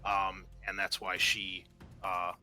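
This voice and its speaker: A man's voice: deep voice, completely flat delivery, slow pace, pushed and bright. A man narrating a tabletop roleplaying game as game master, casually and hesitantly, improvising as he goes. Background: background music.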